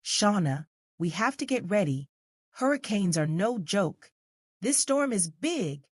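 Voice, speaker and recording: Woman as storybook mother, calm, serious, reassuring, instructive, dry close sound. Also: medium pitch, takes it slowly, no echo, heavily acted. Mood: serious, urgent. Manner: instructive, reassuring, clear step-by-step explaining. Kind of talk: children's storybook character.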